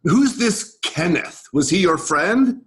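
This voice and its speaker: A man's voice: high voice, big pitch swings, slow, exaggerated voice. A man in a staged play reading, playing a macho G.I. Joe soldier doll, cocky and protective, with tough-guy endearments. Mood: urgent, soothing.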